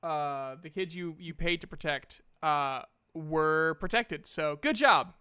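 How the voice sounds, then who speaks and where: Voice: medium pitch, slow, bright, forceful tone, clear, resonant tone. A game master hosting a livestream, chatting casually and recapping a tabletop game in an informal, sweary way. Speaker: game master and stream host.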